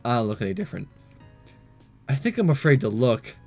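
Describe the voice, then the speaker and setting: A man's voice: mid-range voice, sing-song, fairly quick, a little mellow, faint music behind, voice pushed down. Man acting flustered, hesitant teenage boy. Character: awkward nervous teenage boy, visual novel protagonist disguised as girl.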